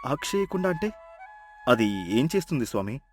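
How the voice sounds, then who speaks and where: A man's voice: medium pitch, big pitch swings, normal speed, close to an everyday voice. A man voicing an honest, humble and principled poor village fisherman in a moral folktale. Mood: awed, grateful. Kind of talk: animated moral folktale acting. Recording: music behind.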